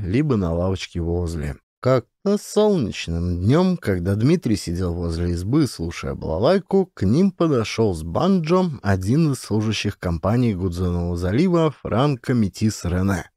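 A male audiobook narrator tells an adventure story in a fairly low, mellow voice. His intonation moves around a lot, giving the reading an expressive storyteller's feel. The recording is close and dry. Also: dry recording.

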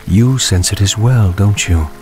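An actor playing a solemn old prophet in a fantasy game, speaking in a grave, portentous, measured way. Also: male voice, lowish voice, a little mellow, soft background music, lightly acted. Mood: solemn, urgent. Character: mysterious old male prophet, solemn and foreboding. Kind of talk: dramatic fantasy game voice acting.

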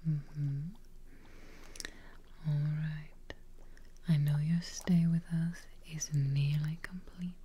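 A young woman playing a kindly witch receptionist in an ASMR role play, speaking very softly in a breathy, whispery, reassuring way, close to the microphone. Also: sits low, level tone, a little hiss or hum. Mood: gentle, pleased. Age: young adult. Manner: slow, gentle, reassuring, caring. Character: kindly, soothing witch receptionist tending a guest.

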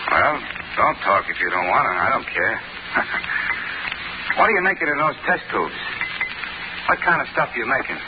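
A man's voice: medium pitch, very animated, normal speed, long pauses, close to an everyday voice. An actor playing a simple, talkative down-and-out man in an old radio drama, with folksy, uneducated speech. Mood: frightened, pleading. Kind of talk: 1940s radio acting. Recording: dull, noisy old radio recording.

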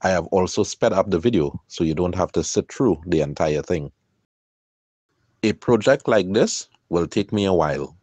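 Calm man with a lively low voice. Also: no room sound. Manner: calm, measured, explanatory. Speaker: kayaker and channel host. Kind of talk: scripted voice-over narration.

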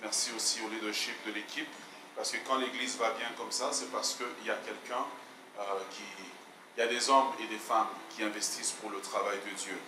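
A man in his forties with a mixed Canadian and Belgian French accent, a guest pastor opening his sermon in a measured, hesitant way on a handheld mic. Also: lowish voice, steady tone. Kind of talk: sermon introduction. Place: large church hall, indoors. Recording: echoey church hall, noisy.

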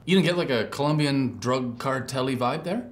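A man's voice: medium pitch, normal speed, close to an everyday voice. An actor playing a defensive, easily wounded adman brother in naturalistic screen comedy. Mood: eager. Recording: faint background noise.

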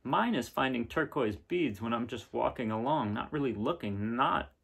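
A man in his thirties reading a picture book aloud in a casual, unhurried way with pauses between phrases. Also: medium pitch, lively intonation, vocal fry, fairly bright tone, slightly rough. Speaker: reader of children's picture books. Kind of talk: gentle read-aloud storytelling and casual chat. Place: small living room indoors, seen on camera.

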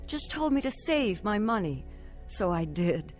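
A woman's voice: mid-range voice, very animated, measured pace, darkish tone, soft background music. An actress playing a troubled, despondent mother in her thirties, narrating and acting in an earnest radio drama style. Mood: somber, reflective.

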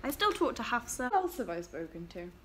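A woman's voice: a little high, big pitch swings, quick pace, a bit bright. A young woman from England chatting casually to her webcam in a small bedroom, giggling and trailing off into fillers. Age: young adult. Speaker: vlogger. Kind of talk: casual chat to camera. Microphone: laptop webcam at desk.

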